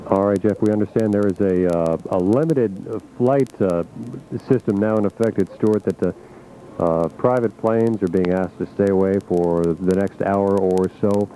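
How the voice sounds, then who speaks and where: A lower-pitched man covers an event live as a radio reporter. His tone is very dark and dull, his phrasing measured and reflective with searching pauses. It sounds like an old aircheck. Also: steady tone. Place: outdoors at an airport. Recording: muffled, noisy 1980s radio aircheck.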